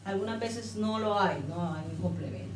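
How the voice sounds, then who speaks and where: A woman's voice: medium pitch, normal speed, echoey room, noisy background. A woman explaining English grammar step by step, patient and repetitive, like a teacher at a board. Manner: patient, repeats and spells out steps.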